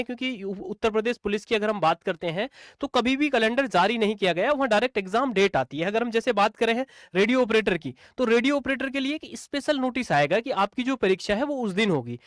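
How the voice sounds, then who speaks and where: A man's voice: high voice, a bit bright, no room sound, clean studio sound. A man explaining exam updates informally to students, repeating points and posing rhetorical questions. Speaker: exam coaching teacher.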